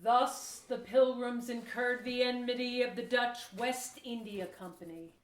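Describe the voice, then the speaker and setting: A woman reading scripted historical narration for an audio play. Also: a little high. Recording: echoey room.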